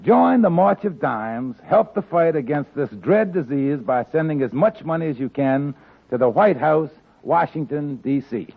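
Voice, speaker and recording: A man's voice: on the high side, expressive, unhurried, vocal fry. A man making an urgent public-service appeal on 1940s radio in a grave tone. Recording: tinny, distant old radio recording.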